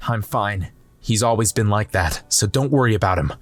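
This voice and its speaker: A man's voice: lowish voice, moderate pace, dry recording, voice pushed down, lightly acted. A man voicing an earnest, determined teenage boy volleyball setter in a manga dub, reassuring and modest.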